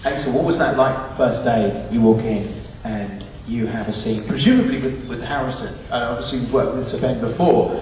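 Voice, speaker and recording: A man's voice: medium pitch, moderate pace, hardly any pauses, darkish tone, a little hiss or hum. A man moderating a stage Q&A, putting questions to the panel conversationally. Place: large cinema auditorium at a public Q&A. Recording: echoey cinema hall, distant, crowd.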